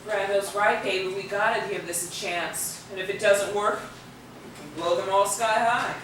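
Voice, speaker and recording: A woman's voice: mid-range voice, moderate pace, lightly acted. Echoey, tough rebel girlfriend played by a woman. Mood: determined. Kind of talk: theatrical stage acting. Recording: echoey distant theater videotape with noise.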